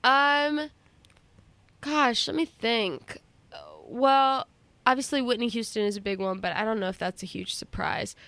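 A young woman singer talks through an interview in a loose, chatty way, full of fillers and little laughs. Her voice sits a bit high and rings bright and clean. The recording is close and completely dry. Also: fairly even intonation, unhurried, gravelly, dry recording. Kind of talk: casual interview.

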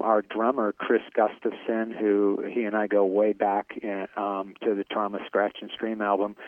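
A middle-aged man with a somewhat low voice talks through an interview, with many pauses, fillers and restarts. The recording is dry and close, with no room sound. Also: dry recording, rough recording. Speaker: metal band vocalist. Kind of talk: interview, casual chat.

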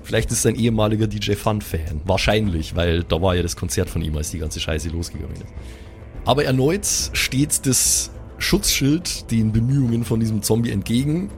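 A man's voice: lowish voice, expressive, moderate pace, faint music behind. A man improvising colloquial, dramatic narration as game master of a pen and paper audio play.

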